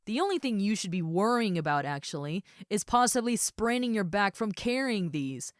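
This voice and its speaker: A woman's voice: mid-range voice, animated, moderate pace, no room sound, clean studio sound, close to an everyday voice. A woman playing a muscular female ogre roommate in a roleplay audio, friendly, easygoing and caring, with a casual, chatty way of talking and hesitant asides. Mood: playful, reassuring. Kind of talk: roleplay audio acting, addressed to the listener.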